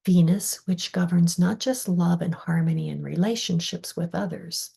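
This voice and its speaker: A woman leading a guided meditation in a soft, breathy, husky voice, with soothing delivery and deliberate pauses between phrases. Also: lowish voice, steady tone.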